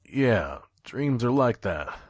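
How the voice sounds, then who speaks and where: A man playing a weary, guarded pony trader in an audio drama, with a gruff, dry, terse way of talking. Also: medium pitch, very animated, slow pace, no room sound, heavily acted. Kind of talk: audio drama narration and dialogue.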